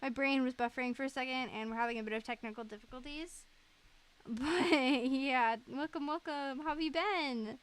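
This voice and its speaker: A woman's voice: high voice, normal speed, clear, resonant tone. A woman livestreamer chatting casually in a giggly, enthusiastic way.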